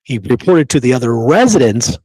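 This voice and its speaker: Guy cohosting a history show, reads old stories out loud, chats a bit, mid pitch, really dry sound. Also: sing-song, brisk, slightly rough, dry recording, poor audio. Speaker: show co-host. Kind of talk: reading a historical narrative aloud, with casual asides.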